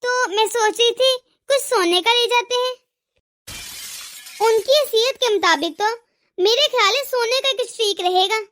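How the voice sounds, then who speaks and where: A woman voicing a scolding, sarcastic, demanding mother-in-law in an animated family drama. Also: very high-pitched, unhurried. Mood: insistent. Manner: nagging, sarcastic. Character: scolding, demanding mother-in-law, fiercely partial to her daughter.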